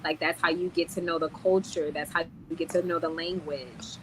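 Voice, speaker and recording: Lower-voiced woman hosting a casual stream. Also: steady tone, normal speed, a little hiss or hum, low-quality recording. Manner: talkative, blunt, slangy. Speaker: livestream host. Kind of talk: casual livestream chat.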